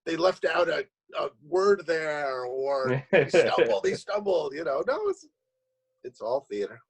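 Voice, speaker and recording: A man's voice: fairly high pitch, sing-song, breathy edge. A man answering interview questions as a playwright on a video call, casually rambling and often restarting his phrases. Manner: rambling, halting with repeated starts.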